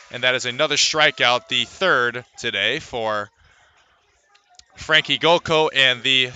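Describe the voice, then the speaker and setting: A man's voice: mid-range voice, pushed and bright, smooth. A man calling baseball live as a radio play-by-play announcer, fluently reciting stats and lifting his delivery on each play. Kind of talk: live sports play-by-play commentary.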